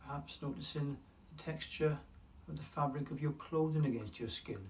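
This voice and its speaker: A man leading a guided mindfulness meditation in a calm, unhurried way, leaving long pauses between phrases. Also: a little high. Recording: distant mic with faint room noise.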